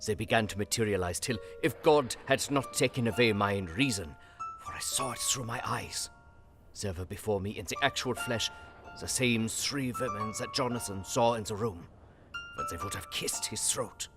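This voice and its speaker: A man's voice: lowish voice, lightly acted. Man acting an earnest aging professor, solemn and impassioned. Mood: haunted, solemn. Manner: solemn, impassioned, halting old-fashioned English. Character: earnest aging Dutch professor and vampire hunter, God-fearing. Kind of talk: dramatic diary narration in an audio drama. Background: guitar music.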